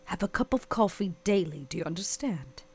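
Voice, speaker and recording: A woman playing a curt, exasperated vampire boss in a tabletop game, with clipped, withering delivery and a husky voice. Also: on the low side, expressive, a little fast, darkish tone.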